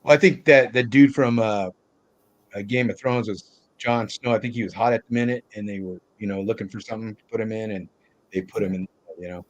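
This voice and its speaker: A man chatting casually as a panelist on a film discussion, in a halting way with phrases that trail off. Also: fairly low pitch, highs cut off. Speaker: podcast panelist discussing films. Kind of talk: casual chat.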